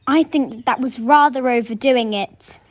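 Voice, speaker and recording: A young woman playing a frightened, high-strung woman in an amateur radio murder mystery, with a dramatic, stagey delivery. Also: moderate pace, a little hiss or hum, lightly acted. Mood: calmer, reflective. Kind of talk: amateur radio play acting.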